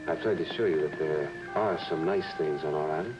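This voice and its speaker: Casting: smooth island lover, low voice, courtly and romantic, muffled vintage drama sound with loud music. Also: male voice, brisk. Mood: seductive. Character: suave seductive island man, smooth lover. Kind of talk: old-time television drama acting.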